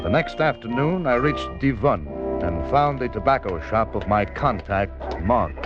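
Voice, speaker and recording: An actor with an American accent playing a wry freelance correspondent turned agent, in a 1950s radio drama. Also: male voice, mid-range voice, animated, a little slow, natural voice. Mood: measured, tense. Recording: muffled old radio recording, music behind.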